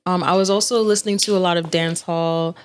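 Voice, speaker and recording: Easygoing young New York woman, low-pitched. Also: fairly even intonation, fairly quick, smooth. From Jamaica. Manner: easygoing, laughing, chatty storyteller. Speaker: singer and guest. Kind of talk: casual interview chat.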